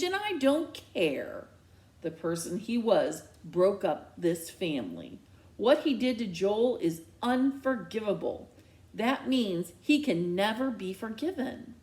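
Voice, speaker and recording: A woman's voice: mid-range voice, a little mellow. A woman voicing a furious, protective mother in a storybook, stern and unforgiving, biting out emphatic words. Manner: slow, emphatic, clipped commands.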